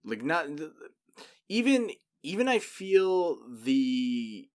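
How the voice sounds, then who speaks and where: A man in his thirties co-hosting a casual podcast, relaxed and dryly teasing with short replies, on a boom-arm studio mic in a small room. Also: slow pace. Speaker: podcast co-host. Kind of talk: casual chat. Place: small home room, indoors.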